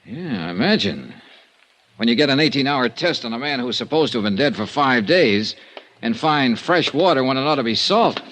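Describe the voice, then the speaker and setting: A man's voice: medium pitch, animated, moderate pace, fairly bright tone, a little hiss or hum, lightly acted. An actor playing a dry, wry male insurance investigator in a 1950s radio drama, narrating in a clipped report style. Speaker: narrator and lead.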